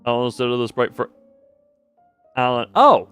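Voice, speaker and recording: Male streamer, mid-pitched voice, casual rambling commentary, animated reactions, faint game music behind. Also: a little slow, clean tone.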